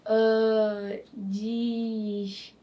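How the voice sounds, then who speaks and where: A woman's voice: a little high, flat delivery, very slow, dull audio. A woman hosting a casual talk show, asking curious, encouraging questions. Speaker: host. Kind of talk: casual interview chat.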